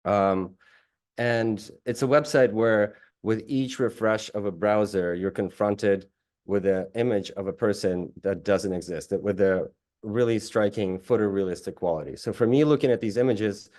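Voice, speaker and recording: Low-voiced man from Russia presenting his work. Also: moderate pace, darkish tone. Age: late 30s. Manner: thoughtful, with frequent restarts and fillers. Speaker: guest artist giving a talk.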